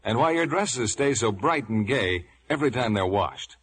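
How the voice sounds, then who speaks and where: Male old-time radio pitchman, very animated intonation, medium pitch, polished and emphatic. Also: normal speed, breathy edge. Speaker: radio announcer. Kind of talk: 1950s radio ad delivery.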